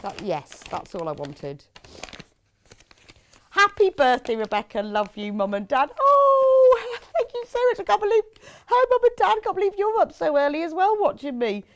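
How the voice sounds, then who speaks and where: A woman's voice: extremely high pitch, big pitch swings, moderate pace. A middle-aged British woman presenting a live craft shopping show, chatting informally in a rambling way with lots of tag questions and reading out viewers' messages. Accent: British English.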